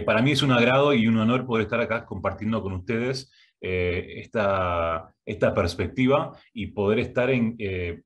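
A middle-aged man with an Argentine Spanish accent, giving a prerecorded video talk from a home office. He hesitates often, with many filler sounds and restarts. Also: fairly low pitch. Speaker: guest speaker, arts education director. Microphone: webcam, head and shoulders framing.

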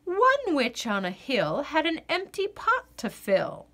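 A woman reading a children's picture book aloud in an expressive, sing-song storyteller's delivery. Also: high-pitched, takes it slowly, a little hiss or hum.

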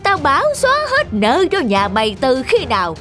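An actor playing a greedy, scheming middle-aged rich villager in a cartoon fairy tale, pompous, scornful and blustering. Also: male voice, very high voice, wildly swooping pitch, normal speed, music in the background, heavily acted.

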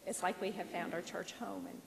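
A woman's voice: mid-range voice, fairly even intonation, creaky, noisy background. A woman in her sixties introducing herself as a new church member, cheerful and grateful, on a handheld mic. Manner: cheerful, grateful, listing places quickly. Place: church sanctuary, standing in front. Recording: echoey church with long reverb.

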